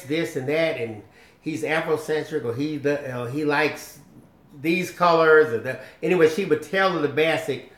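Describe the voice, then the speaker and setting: A man's voice: fairly high pitch, fairly bright tone. A man from Michigan co-hosting a live chat with his wife, joking around and often repeating words as he talks. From Michigan, USA. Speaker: co-host, husband. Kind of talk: casual live-stream chat. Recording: echoey room.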